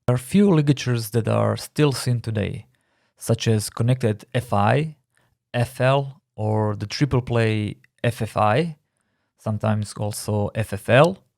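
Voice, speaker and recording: A man from Croatia with a Croatian accent, a native Croatian speaker, narrating a scripted design tutorial in a measured, explanatory way with slightly non-native phrasing. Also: mid-range voice, a little mellow, dry recording, studio quality. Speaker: design instructor.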